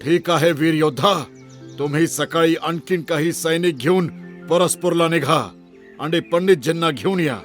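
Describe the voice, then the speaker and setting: A man's voice: on the high side, a little slow, faint music behind, lightly acted. A man voicing a regal eagle king, a worried father who speaks in a stately, formal way in a children's cartoon. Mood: commanding. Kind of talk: animated children's story dialogue.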